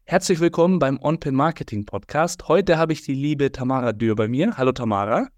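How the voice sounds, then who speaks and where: A man's voice: mid-range voice, a little fast. A man hosting a podcast interview, talking in a friendly, rambling way with many fillers. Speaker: podcast host. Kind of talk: casual interview chat.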